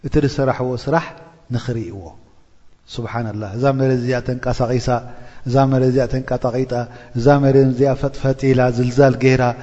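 Mellow-voiced male preacher, reverberant lo-fi recording. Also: mid-range voice, fairly even intonation, brisk. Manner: asks the listeners rhetorical checks, intones quoted verses. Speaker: Islamic preacher explaining a Quran chapter. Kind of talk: religious lesson, reciting and explaining verses. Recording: dull lo-fi recording with long reverb.

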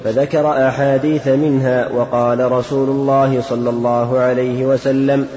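A man reading texts aloud as a student, in a slow, measured recitation. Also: medium pitch, level tone, dark tone, a little hiss or hum. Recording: echoey hall, dull lo-fi, off-mic.

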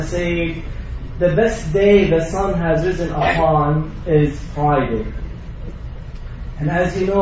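Male imam, high voice, heard from a distance in a roomy, booming prayer hall; sermon delivery. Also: darkish tone, slight background noise. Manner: preaching with rising emphasis, Arabic recitation between English. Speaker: imam giving a Friday sermon. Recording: distant echoey mosque recording, dull.

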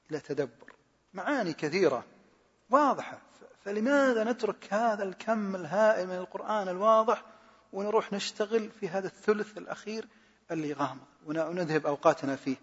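Fast-talking husky man in an echoing hall. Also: sits high. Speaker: lecturer answering questions on Quran study. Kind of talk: informal religious teaching, question and answer. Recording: muffled, echoing hall recording.

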